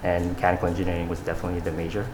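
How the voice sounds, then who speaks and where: A man's voice: low-pitched, completely flat delivery, racing, a little mellow, roomy sound, a little hiss or hum, poor audio. A young man from Saudi Arabia, answering interview questions in a casual, earnest way, with fillers and restarts. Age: young adult. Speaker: interview guest, fashion designer.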